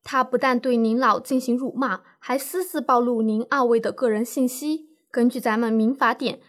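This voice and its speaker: Woman acting a higher-voiced, composed female lawyer. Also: fairly even intonation, moderate pace, a bit bright. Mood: reassuring, explanatory. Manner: composed, explanatory, formal legal phrasing. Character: calm, reassuring female lawyer advising a client. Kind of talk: scripted legal-education radio drama.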